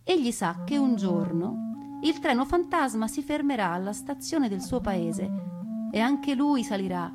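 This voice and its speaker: A woman narrating a scripted memoir as a stage storyteller, expressive and with dramatic pauses. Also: fairly high pitch. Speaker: theatre actress and storyteller. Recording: music under the voice. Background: music.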